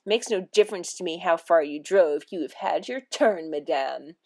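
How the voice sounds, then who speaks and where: Woman playing a loud, taunting, childish father. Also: medium pitch, lively intonation, slow pace. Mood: disagreeable. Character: rude, childish, gloating, disagreeable father.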